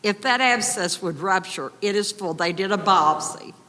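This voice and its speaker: An upset woman with a big, loud voice, pleading urgently as a resident at a public meeting. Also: medium pitch, moderate pace. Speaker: resident addressing county commissioners. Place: county commission meeting room. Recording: echoey hall, distant reverb.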